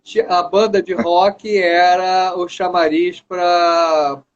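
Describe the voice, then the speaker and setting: Brazilian guest guy, calm storyteller, gets excited about details, a bit higher voice, muffled call audio. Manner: measured storyteller, recalls details with enthusiasm. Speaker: guest, former artist manager and producer. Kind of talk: casual interview chat. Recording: dull lo-fi sound.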